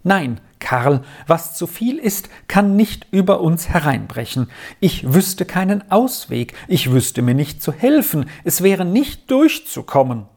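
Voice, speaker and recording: A high, fretting aunt from an old storybook who wails her worries one after another, as a narrator puts her on. Her voice climbs well above the reader's own, and the words come slow and pleading. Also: sing-song, a little mellow. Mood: distraught. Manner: lamenting, wailing complaints. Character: anxious fretful middle-aged aunt.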